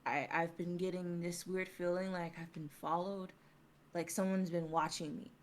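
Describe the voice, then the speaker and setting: A young woman playing a nervous, haunted old schoolmate, halting and hesitant, in a dramatic script reading. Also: fairly low pitch, steady tone, slight background noise, close to an everyday voice. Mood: grave, paranoid. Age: young adult.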